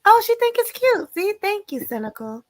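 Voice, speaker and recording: A woman hosting a casual live stream panel, her voice a little hoarse from a sore throat. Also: very high-pitched, sing-song, normal speed, no echo, studio quality.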